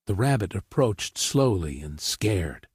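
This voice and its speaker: A man's voice: on the low side, sing-song, takes it slowly, breathy edge, no room sound. A man narrating scripted fairy tales for children as a storyteller.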